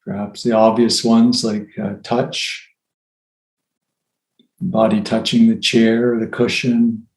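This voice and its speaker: A man guides a meditation in a flat, even voice at a very slow pace. He phrases things gently and leaves long pauses between instructions. The sound is roomy and echoey. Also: on the low side. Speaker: meditation teacher.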